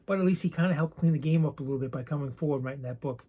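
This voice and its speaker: A soft-spoken man with a raspy voice, chatting casually and rambling a bit while showing his collection. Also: on the high side, racing, phone-quality sound. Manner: soft-spoken, not loud; rambling, hesitant with many ums. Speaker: card collecting channel host. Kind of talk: casual show-and-tell chat.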